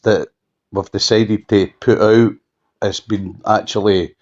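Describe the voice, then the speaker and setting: A Scottish man giving an unscripted telephone interview, halting and often repeating himself for emphasis. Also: fairly low pitch, level tone, darkish tone. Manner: halting, repetitive, emphatic restatements. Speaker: campaigner being interviewed. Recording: dull lo-fi phone call.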